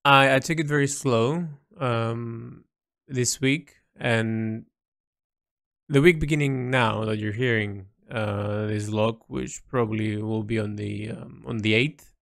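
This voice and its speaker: A man recording a reflective, unscripted audio diary, thinking aloud haltingly with repeats, in a creaky voice. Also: mid-range voice, fairly bright tone, dry recording, studio quality. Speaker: painter recording an audio log.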